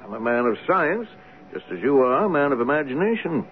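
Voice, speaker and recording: An actor playing a skeptical, rational doctor in a radio drama, with a dry, dismissive, formally polite manner. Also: male voice, mid-range voice, very animated, brisk, close to an everyday voice. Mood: stumped, measured. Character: skeptical rational country doctor, male, mildly mocking. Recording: tinny, noisy old radio broadcast.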